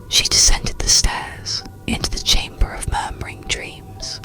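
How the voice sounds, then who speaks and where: A narrator reading a scripted bedtime story in a breathy, unvoiced ASMR whisper, soothing and hushed, with unhurried phrasing. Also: rough recording. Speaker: audiobook narrator.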